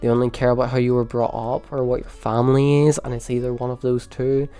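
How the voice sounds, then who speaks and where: A man's voice: flat delivery, fast talker, dark tone, smooth, faint music behind. Dry-humored young Northern Irish man. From Poland. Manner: dry, self-deprecating, low-key delivery. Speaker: vlogger. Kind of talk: casual storytelling monologue.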